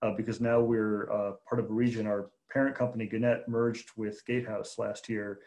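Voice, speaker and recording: A man's voice: fairly low pitch, level tone, moderate pace, darkish tone. A man in his forties from Chicago, talking as a guest on a video call interview, thoughtful with many fillers and restarts. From Chicago, USA. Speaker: guest, newspaper opinion editor. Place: home room. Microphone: laptop on video call.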